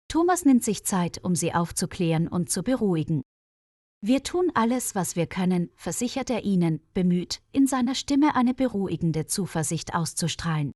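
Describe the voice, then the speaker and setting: Female storyteller, mid-pitched, clear and measured, dry close-miked studio read. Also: darkish tone, no room sound. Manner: clear, measured, evenly paced reading. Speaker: narrator. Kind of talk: scripted story narration for language learners.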